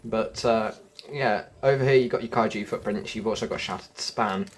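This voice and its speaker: A man's voice: lowish voice, moderate pace, slight background noise. A man giving casual gameplay commentary as a video host, informal and a little stumbling in how he talks.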